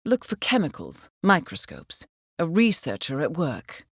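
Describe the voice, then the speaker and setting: An actress playing a composed intelligence handler who briefs an assassin in a measured, formal, understated way for a video game. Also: female voice, low voice, huge pitch swings, vocal fry, breathy edge, no echo, clean studio sound, heavily acted. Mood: cool, efficient. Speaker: mission handler. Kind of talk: scripted video game voice acting, mission briefing.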